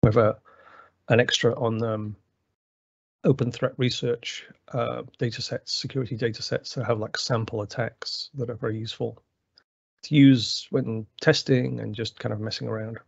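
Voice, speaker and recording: Gravelly man presenting a tech webinar. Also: mid-range voice, steady tone, normal speed, dry recording. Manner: rambling, many fillers and restarts. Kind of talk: technical webinar walkthrough. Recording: dry, slightly muffled webinar audio.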